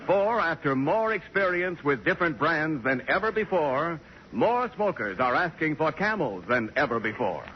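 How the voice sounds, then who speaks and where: A male announcer reading a forties radio commercial. His delivery is highly animated and a bit high in pitch, on muffled sound with clear noise. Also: normal speed. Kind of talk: 1940s radio advertising read. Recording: noisy, muffled old radio broadcast.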